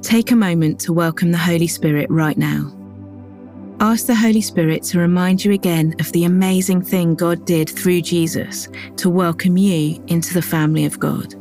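A woman giving a calm, measured scripted devotional reading that moves into a guided prayer. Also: lowish voice, soft background music. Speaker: devotional reader.

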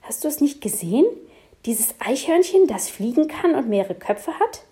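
A woman voicing an eager, impulsive young heroine in a storybook, speaking breathless and excited. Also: high-pitched, moderate pace, voice pushed up.

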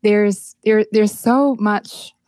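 A woman from Taiwan with a Taiwanese accent, speaking as an interview guest in a halting way, often repeating words as she searches for phrasing. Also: mid-range voice, steady tone, darkish tone, dry recording. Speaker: music producer, interview guest. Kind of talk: conversational interview, telling personal experience.

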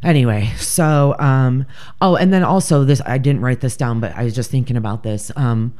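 Woman podcast co-host, deep mellow voice, blunt and swears freely. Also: moderate pace. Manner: blunt, profane, joking. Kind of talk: casual true-crime chat.

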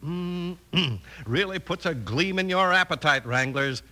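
A man's voice: on the high side, lively intonation, unhurried, sharp tone. An American man announcing and narrating in scripted 1950s radio style, with a polished showman's delivery. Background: music.

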